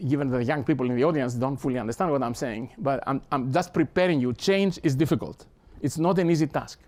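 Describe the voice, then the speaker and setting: A man in his sixties from Greece, speaking English with a Greek accent, gives an academic talk in an earnest, halting manner with many restarts. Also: on the high side, darkish tone. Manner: earnest, halting, with frequent restarts and repeated words. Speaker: professor. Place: lecture hall.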